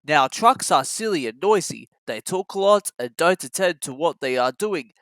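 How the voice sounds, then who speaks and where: A children's storybook narrator with a bright, forceful voice and very lively intonation. The reading is scripted and sounds close and dry. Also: mid-range voice, moderate pace, no room sound.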